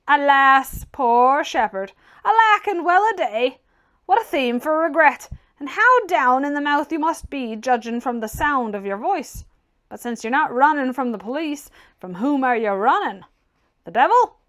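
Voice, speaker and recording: A woman voicing a brash, mocking bachelor gentleman in a storybook, theatrical and emphatic in delivery. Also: sits high, expressive, unhurried. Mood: mock pity. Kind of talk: audiobook character dialogue.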